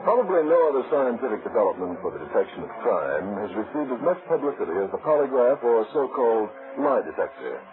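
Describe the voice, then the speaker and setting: A man's voice: mid-range voice, very animated, fast, hardly any pauses. A man narrating a radio crime drama in a grave, old-fashioned scripted style that builds suspense. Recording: muffled, noisy vintage radio recording.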